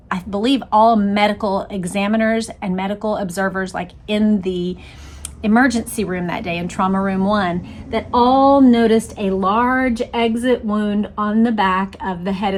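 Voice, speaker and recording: A woman host talking through material and reading aloud in a deliberate, emphatic, explanatory way. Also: mid-range voice. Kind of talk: explanatory talk and dramatic read-aloud.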